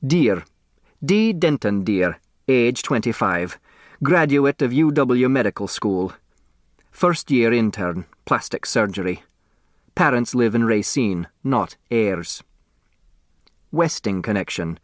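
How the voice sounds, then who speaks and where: A man's voice: medium pitch, expressive, slow pace, long pauses, no room sound, clean studio sound. A man voicing an earnest, folksy doorman who reports in plodding, clipped phrases in a storybook reading. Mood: matter-of-fact.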